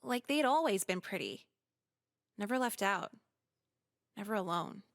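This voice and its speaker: A woman voicing a cynical, sociopathic teenage high school girl in a visual novel, blunt, sweary and sardonic as she narrates. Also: animated, a little slow, background noise. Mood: bitter, sardonic.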